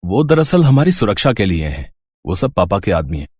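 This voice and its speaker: A man playing an earnest, easygoing young son and newlywed husband in an animated moral story, eager in manner. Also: fairly low pitch, brisk, slightly rough, no room sound. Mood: agreeable. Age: young adult.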